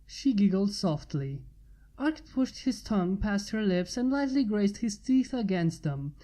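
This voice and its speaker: A woman's voice: medium pitch, big pitch swings, measured pace, warm tone. A woman reading fan fiction aloud as a narrator.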